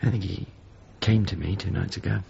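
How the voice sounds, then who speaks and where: An actor playing a melancholy, haunted painter in a radio drama, speaking in a reflective, halting, confessional way. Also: male voice, deep voice, steady tone, vocal fry, mellow, dull audio, a little hiss or hum. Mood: wistful, troubled. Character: Mario, melancholy haunted painter, a grown man. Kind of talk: naturalistic radio drama acting and monologue.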